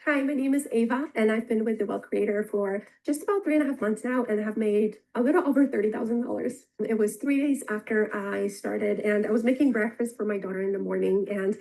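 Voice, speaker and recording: A woman telling an excited testimonial story about earning her first commission. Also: mid-range voice, fairly quick, creaky, hardly any pauses, low-quality recording.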